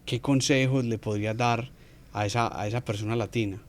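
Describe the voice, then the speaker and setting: A man hosting a podcast interview who asks measured questions and adds short affirmations while his guest talks. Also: medium pitch, steady tone. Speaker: podcast host.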